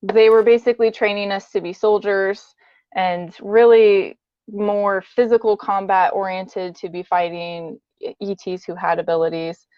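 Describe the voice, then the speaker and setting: Woman guest telling her own story casually, matter-of-fact, mid pitch, dull lofi dry sound. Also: level tone, measured pace, smooth, no echo. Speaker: interview guest. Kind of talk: conversational personal account.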